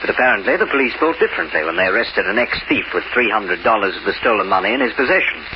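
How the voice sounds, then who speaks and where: An actor in an old radio crime drama playing a coldly suave, betrayed banker turned crook, precise and sardonic. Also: male voice, medium pitch, animated, fast talker, gravelly. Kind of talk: 1940s-50s radio drama acting. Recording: noisy, dull old radio recording.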